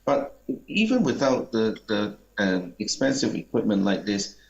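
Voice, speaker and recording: A man answering audience questions at a seminar in a polite, measured, explanatory way. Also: lowish voice, big pitch swings, gravelly, a little mellow. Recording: muffled, dull sound.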